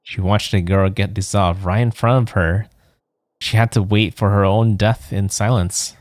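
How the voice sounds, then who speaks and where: A man with a fairly low voice streams a game and reads its text aloud. He takes his time, measured and subdued, and the sound is dry and close. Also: steady tone, no echo. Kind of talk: let's play, reading game text aloud.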